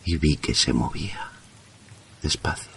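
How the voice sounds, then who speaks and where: Very deep voiced man from telling a creepy story, slow and hushed, faint noise behind. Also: gravelly. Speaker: narrator. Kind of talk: horror story narration.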